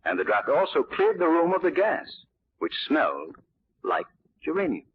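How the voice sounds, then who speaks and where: A man playing a suave, self-assured gentleman detective in 1940s radio drama, urbane and explanatory. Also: fairly high pitch, huge pitch swings, normal speed, breathy edge, exaggerated voice. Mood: amused, explaining. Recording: dull old 1940s radio recording.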